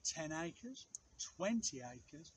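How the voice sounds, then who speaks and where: A man reading a children's picture book aloud in an expressive way, with measured, dramatic phrasing and pauses for effect. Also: fairly high pitch, slightly rough. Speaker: storybook reader, outdoor teacher.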